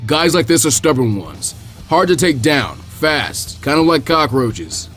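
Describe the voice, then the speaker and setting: A man voicing a calm, mature male ninja teacher who speaks in a relaxed, dry way. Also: a little high, a little slow, faint music behind.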